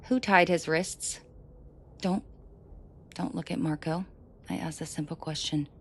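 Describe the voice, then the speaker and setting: A woman's voice: sits low, steady tone, breathy edge, heavily acted. A woman playing a female mafia boss in a scripted roleplay audio drama, controlled and commanding, menacing to her men yet protective and tender. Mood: menacing, angry. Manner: controlled, commanding, slow and deliberate, often dropping to whispers. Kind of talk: scripted roleplay audio drama, intimate direct address to listener.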